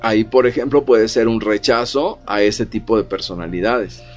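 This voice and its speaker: A man's voice: fairly low pitch, normal speed, a little mellow, faint music behind. A middle-aged man, co-hosting a casual radio talk, explaining at length with many fillers. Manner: explanatory, frequent fillers like "o sea" and "¿no?". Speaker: radio co-host. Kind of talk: casual radio chat on psychology.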